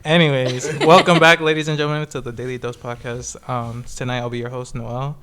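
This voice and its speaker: A man's voice: medium pitch, flat delivery, a little fast, crowd noise. A man of twenty-one hosting a casual podcast, loose and jokey, laughing easily. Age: 20s. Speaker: podcast host.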